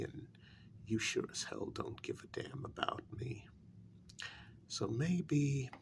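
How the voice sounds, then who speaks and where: A man playing a brooding, bitter kidnapper in a soft-spoken ASMR role-play, gravelly and often slipping into a whisper, speaking deliberately with quiet menace. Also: lowish voice, slight background noise, heavily acted. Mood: bitter, threatening. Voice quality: gravelly, breathy, drifting into whisper. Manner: slow, deliberate, quietly menacing, with long pauses. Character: brooding, bitter male kidnapper confronting a captive demon.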